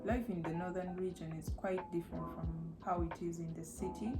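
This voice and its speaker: A young woman, co-hosting a casual couple chat, playful and quick to laugh. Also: fairly low pitch, steady tone, music in the background. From Uganda. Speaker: co-host.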